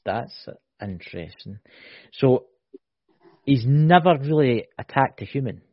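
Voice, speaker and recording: A man co-hosting a casual podcast, speculating in an animated way and often tagging "right?" onto his points. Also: medium pitch, a little slow, dry recording. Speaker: podcast co-host. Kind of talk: casual chat. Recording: dull lo-fi, dry.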